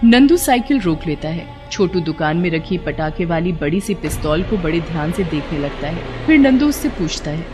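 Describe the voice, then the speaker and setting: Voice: medium pitch, animated, normal speed, few pauses, over music. A narrator telling a scripted moral story for a cartoon.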